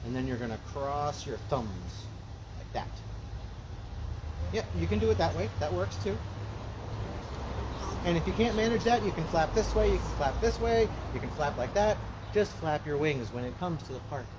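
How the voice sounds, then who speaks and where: A man hosting a children's story time outdoors, talking to young kids in a gentle, encouraging way with simple repeated phrases. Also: fairly high pitch, animated, moderate pace, pauses often, background noise. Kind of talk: talking to young children, interactive sing-along patter. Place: outdoors at a library event.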